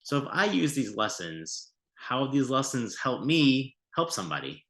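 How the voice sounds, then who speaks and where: A man's voice: medium pitch, sing-song, moderate pace, vocal fry, a bit bright. A man asking curious, friendly questions about a teaching program in a scripted interview. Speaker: prospective volunteer. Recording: roomy echo.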